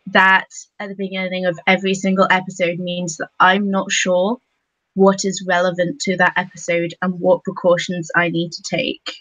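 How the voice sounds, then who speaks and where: A woman talking casually on a discussion panel, halting as she thinks aloud. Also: on the low side, completely flat delivery, a bit bright, dry recording. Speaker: podcast panelist. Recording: dull lo-fi sound.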